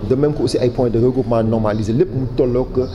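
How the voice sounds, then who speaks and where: Senegalese man speaking haltingly over noise. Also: mid-range voice, fairly even intonation, lo-fi sound. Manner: halting, with frequent hesitations and repeated words. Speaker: official spokesman for a public waste management project. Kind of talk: formal interview answers. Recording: clearly noisy background ambience.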